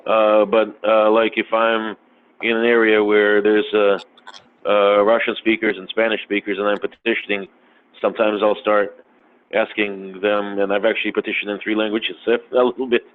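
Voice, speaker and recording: A man in casual podcast conversation, talking haltingly with many fillers and restarts. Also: lowish voice, level tone. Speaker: podcast guest. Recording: tinny phone-like sound, faint noise.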